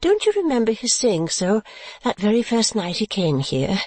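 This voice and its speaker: A shy young daughter played by a woman reader, pitched high and soft-mannered. She demurs hastily but gently in a storybook reading. The recording is dry. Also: very animated, moderate pace, no room sound. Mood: gently protesting. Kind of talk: dramatised classic novel reading.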